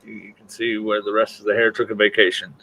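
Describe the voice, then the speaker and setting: A man's voice: fairly low pitch, flat delivery, fast talker, gravelly, bright, forceful tone, poor audio. A man hosting a casual livestream panel chat in an informal, teasing way. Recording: faint background noise on livestream audio.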